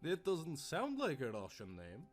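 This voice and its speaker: A man's voice: on the high side, huge pitch swings, a little slow, faint music behind, pitched above the performer's own voice. A man voicing a polite, uneasy army lieutenant in a storybook reading, formal and hesitant.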